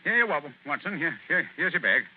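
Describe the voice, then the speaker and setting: A clipped, bossy detective, voice leaps all over, tinny old radio with a bit of hiss. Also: male voice, fairly high pitch, very animated. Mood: commanding. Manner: brisk and clipped. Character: brisk, confident male detective, commanding. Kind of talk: 1940s radio acting.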